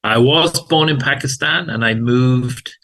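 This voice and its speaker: A man, telling his career story as an interview guest in a relaxed way with dry humor. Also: medium pitch, a little slow. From England. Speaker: guest, hospital chief information officer. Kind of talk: casual interview talk.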